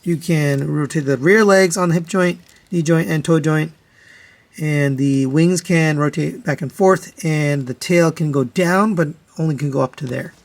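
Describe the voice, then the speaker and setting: Higher-pitched man reviewing products casually. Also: measured pace, gravelly. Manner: matter-of-fact, conversational, step-by-step explaining. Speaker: toy reviewer, host.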